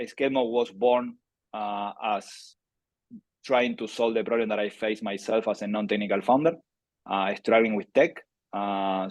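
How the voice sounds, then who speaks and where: Argentine founder in his thirties, strong accent, low steady voice, loose chatty delivery, dry recording. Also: dry recording. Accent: Argentine Spanish. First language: Spanish. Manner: conversational, many fillers and restarts. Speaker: startup founder presenting a webinar. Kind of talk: webinar presentation.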